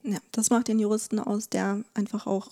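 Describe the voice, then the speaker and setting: A woman's voice: medium pitch, flat delivery, moderate pace, dark tone. A woman explaining as an expert guest, hesitantly searching for her words. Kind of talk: explanatory conversation.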